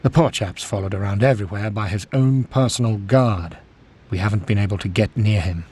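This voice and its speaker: An affable British major in a video game. His voice is fairly low and raspy, with clipped, gentlemanly understatement. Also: a little fast. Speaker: escape officer. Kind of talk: video game cutscene acting.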